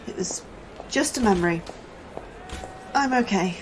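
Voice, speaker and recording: A woman's voice: mid-range voice, expressive, measured pace, a little hiss or hum, lightly acted, poor audio. An actress playing an anxious, apologetic woman scientist in a sci-fi audio drama, hesitant and earnest. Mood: settling, subdued. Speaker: actor in a sci-fi horror fiction podcast.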